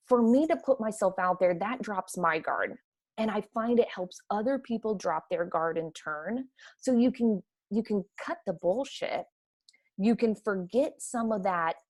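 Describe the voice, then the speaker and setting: A woman with a Midwestern American accent, chatting energetically as a podcast guest. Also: mid-range voice. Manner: energetic, chatty, quick to laugh. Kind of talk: casual conversation.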